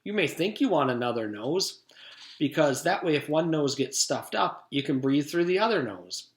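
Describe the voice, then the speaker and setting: Man voicing a fretful schoolboy, pitched high and swooping. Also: sing-song, moderate pace. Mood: earnest. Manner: griping, muttering, repeating himself. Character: indecisive, earnest, fretful schoolboy. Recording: roomy echo.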